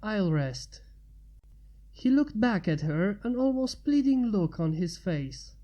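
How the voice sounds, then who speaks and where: A woman's voice: medium pitch, expressive, a little slow, mellow, muffled recording. A woman narrating a scripted piece of fan fiction as its reader.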